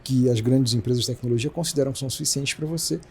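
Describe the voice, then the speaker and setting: Brief: Brazilian male exec guest, rapid-fire delivery, warm mellow tone, faint hiss behind. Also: medium pitch, creaky, faint music behind. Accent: Brazilian Portuguese. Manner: fast, animated explaining with many restarts. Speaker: company president, guest. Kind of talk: casual business interview.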